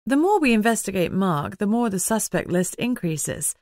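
A woman's voice: medium pitch, very animated, normal speed, darkish tone, dry recording, studio quality, natural voice. A woman voicing a frank young heroine in an audiobook, earnest and wry. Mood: thinking aloud.

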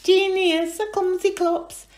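A woman's voice: extremely high pitch, level tone, unhurried, darkish tone, voice pushed up. A woman voicing a giggly, mischievous young pine marten with a sing-song, squealing manner. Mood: teasing.

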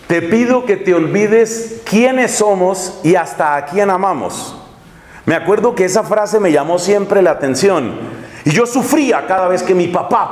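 A middle-aged man, a Dominican friar, giving a lecture-style sermon, gesturing and slowing down to stress key phrases. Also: sits high. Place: large tiled hall with a projection screen. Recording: echoey hall.

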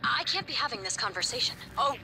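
A woman's voice: on the high side, lively intonation, fast, background noise. A woman playing an earnest, cautious young station crew member in a video game. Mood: nervous, apologetic.

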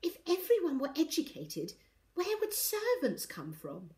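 A woman's voice: on the high side, very animated, fairly quick, pitched above the performer's own voice. A woman voicing a snobbish, condescending woman in a storybook, pompous and exaggerated in manner. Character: self-important, snobbish well-to-do woman.

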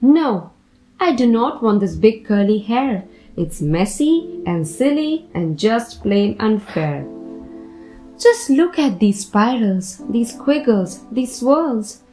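A woman reads a children's storybook aloud in a fairly high voice, taking her time over each phrase. Her delivery is deliberate and expressive, with music behind her. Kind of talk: expressive picture book read-aloud for children.

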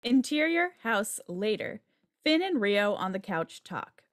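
A woman's voice: medium pitch, sing-song, a little slow, no echo, studio quality. A woman reading out stage directions as scripted narration for a table read over a video call. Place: indoor room at home on video call.

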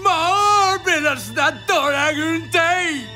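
A haughty enemy commander in an anime-style voice drama, pronouncing every line with slow, grandiose weight. The voice sits very high for a man. Loud battle music plays under him. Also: exaggerated voice. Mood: shaken. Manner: haughty, grandiose, chant-like declarations. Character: arrogant commanding enemy boss, middle-aged man.

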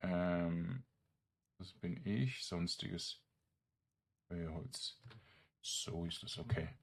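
A man giving casual, halting game commentary, rambling as he thinks aloud. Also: low-pitched, creaky. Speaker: let's player. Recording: game music behind the voice. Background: video game music.

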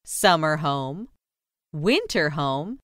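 Slow, very animated woman reading aloud. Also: deep voice, no room sound, studio quality. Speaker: children's audiobook narrator. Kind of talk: scripted read-aloud narration of a children's nonfiction book.

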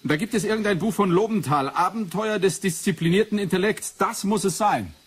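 A man's voice: high-pitched, brisk, husky. An actor playing an eager, insistent male investigator who muses and explains in a radio play. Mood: excited, certain. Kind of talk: 1980s German radio play acting.

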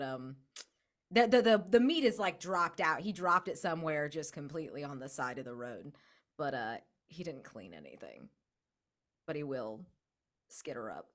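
Woman, tabletop player, animated pitch, lowish register, casual improvised storytelling, close desk mic. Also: normal speed. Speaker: player in a tabletop role-playing game. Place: room at home, on a video call. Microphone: desk microphone, close.

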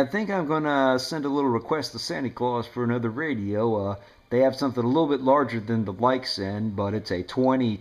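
Low-voiced man in casual radio chat. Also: a little mellow. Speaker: amateur radio operator. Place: indoors at home beside a mobile radio.

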